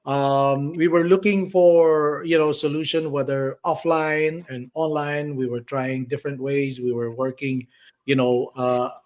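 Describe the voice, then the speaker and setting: A man's voice: mid-range voice, steady tone, measured pace, a little mellow. A man with a Filipino accent giving conversational interview answers as a guest coach, feeling his way through phrases and checking in with "right". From Philippines. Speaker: podcast guest, online business coach.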